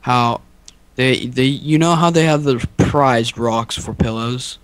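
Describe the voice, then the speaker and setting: A man's voice: mid-range voice, takes it slowly, a crowd in the background. A young man co-hosting a podcast in casual, unscripted chat, rambling along in a goofy, mock-boastful way. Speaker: podcast co-host.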